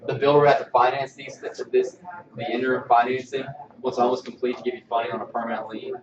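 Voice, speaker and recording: Male presenter, hesitant informal delivery, mid-pitched, distant mic, echoey training room. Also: little pitch movement, quick pace, crowd noise. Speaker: mortgage loan officer giving staff training. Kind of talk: informal presentation of email templates. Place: indoor training room with projection screen. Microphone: far camera microphone. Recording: distant, roomy, slightly dull mic.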